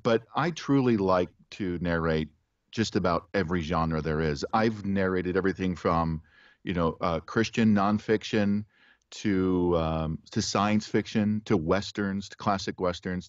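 A middle-aged man, an audiobook narrator, chatting as a guest in an interview with halting restarts and a relaxed chuckle. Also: sits low, no room sound. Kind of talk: casual interview chat. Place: home studio with poster behind. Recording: dry, slightly dull video call audio.

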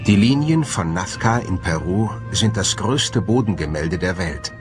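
A man reads scripted documentary narration in a measured, solemn way. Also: low voice, expressive. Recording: music bed under the voice. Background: music.

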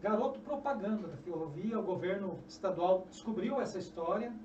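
A middle-aged man with a Brazilian Portuguese accent, a journalist and author telling history as a guest, animated and often restarting phrases, in a small podcast studio at a desk mic. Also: high-pitched, brisk. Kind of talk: storytelling interview answers. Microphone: desk mic on a stand. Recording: echoey, distant, dull, noisy.

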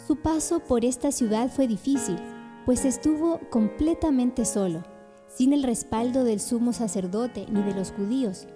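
A woman giving scripted narration for a radio drama. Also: on the high side.

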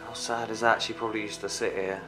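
A man exploring an abandoned house, talking casually and excitedly. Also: lowish voice, fast. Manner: excited, exclamatory. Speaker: urban explorer. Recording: music underneath. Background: background music.

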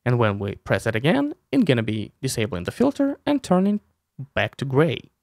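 Guy in his 30s doing a software tutorial, gravelly voice, really animated pitch. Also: normal speed, dry recording, clean studio sound. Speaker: tutorial host. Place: small room indoors. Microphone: close desk mic with headset.

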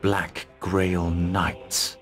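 An actor playing a resolute, grimly determined mercenary army commander in a video game, measured and authoritative. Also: male voice, deep voice, flat delivery. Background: music.

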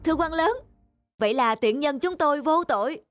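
A woman's voice: high-pitched, lively intonation, moderate pace, music in the background. A woman voicing a humble male thief suspect in a cartoon, pleading and protesting in a servile manner.

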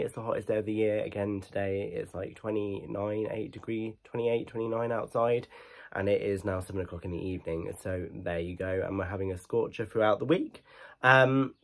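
A young man with a blocked nose, chatting casually to camera as a shopping vlogger, rambling with lots of ums and restarts. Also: lowish voice. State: stuffy-nosed from hay fever. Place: living room at home, then a clothing store.

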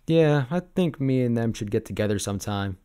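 A man playing an easygoing, sentimental sabertooth boyfriend in an ASMR roleplay, reminiscing in a casual, gently hesitant way. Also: mid-range voice, lively intonation, fairly quick, clear, resonant tone, close to an everyday voice. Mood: fond, nostalgic.

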